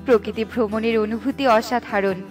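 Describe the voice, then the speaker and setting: A gracious fairy performed by a woman speaks in a high voice with generous, appreciative warmth of manner. It is cartoon fairy-tale dialogue with music underneath. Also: fairly even intonation, normal speed, natural voice. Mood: delighted.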